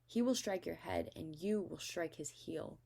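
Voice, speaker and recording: A stern, commanding God of scripture, read aloud by a woman, pronouncing with solemn emphasis. The voice is low, with a creaky edge. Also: a little slow, dark tone, heavily acted. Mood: solemn judgment.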